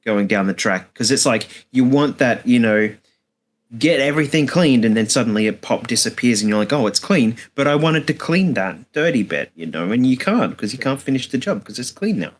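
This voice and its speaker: A man on a gaming podcast who is losing his voice and keeps clearing his throat as he chats casually. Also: mid-range voice, lively intonation, brisk. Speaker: podcast co-host.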